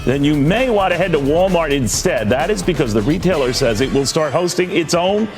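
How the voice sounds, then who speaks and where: Tv business news guy, voice a bit high, music going under him. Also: lively intonation, fairly quick. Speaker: television news presenter. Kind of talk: scripted business news read.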